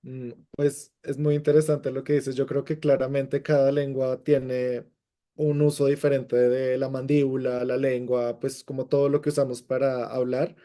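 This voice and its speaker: Male host, very dark and mellow tone, flat delivery, calm measured questions. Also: mid-range voice. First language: Spanish. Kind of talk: casual podcast chat.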